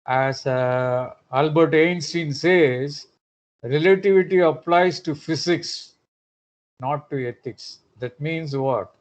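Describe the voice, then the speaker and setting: Slow, hesitant male lecturer. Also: fairly high pitch, muffled recording. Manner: hesitant with frequent "uh", rhetorical tag questions. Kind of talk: online lecture.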